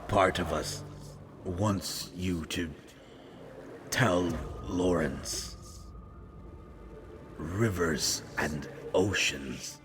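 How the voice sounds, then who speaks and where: Audio drama role: broken otherworldly "we" entity, male actor, deep register, very unhurried, cryptic and halting. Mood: secretive, sorrowful. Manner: halting, cryptic, formal phrasing in short fragments. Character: eerie broken supernatural being speaking as a plural "we". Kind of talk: scripted audio roleplay acting. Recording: noticeable background noise.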